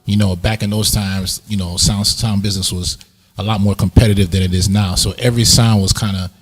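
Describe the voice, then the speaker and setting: A quick-talking man from Tobago with a husky, slightly low voice. He tells his story in a relaxed, laid-back way, with plenty of fillers, in an easy interview chat. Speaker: sound system selector.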